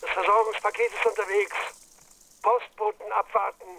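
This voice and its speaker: An actor playing a stern police operation chief giving clipped commands over a radio link in a crime drama. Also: male voice, high voice, flat delivery, moderate pace, vocal fry, pushed and bright, heavily acted. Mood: stern, commanding. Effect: radio transmission. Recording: distant, crackly radio link.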